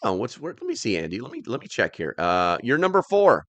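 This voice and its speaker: A man's voice: medium pitch, wildly swooping pitch, brisk, a bit bright, slight background noise. A man hosting a live stream, chatting casually as he reads and answers comments in a rambling, plain-spoken, slightly grumbly way.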